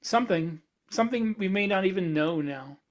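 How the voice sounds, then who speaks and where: A man's voice: high-pitched, lively intonation, normal speed. A man co-hosting a podcast in casual discussion, talking discursively and reasoning his way through examples. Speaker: podcast co-host.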